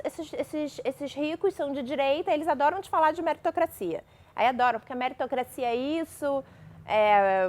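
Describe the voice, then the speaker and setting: Young Brazilian woman novelist, chatty interview guest, fairly high pitch. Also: darkish tone. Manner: chatty, many fillers and restarts. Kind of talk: casual interview talk.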